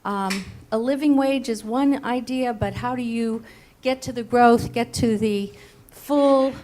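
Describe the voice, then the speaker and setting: Female audience member, fairly high voice, earnest question to the panel. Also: measured pace, a little mellow.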